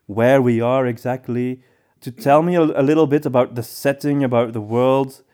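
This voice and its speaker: A man's voice: mid-range voice, steady tone, normal speed, dark tone. A man speaking as a podcast co-host in a casual discussion, giving his opinions as he thinks aloud.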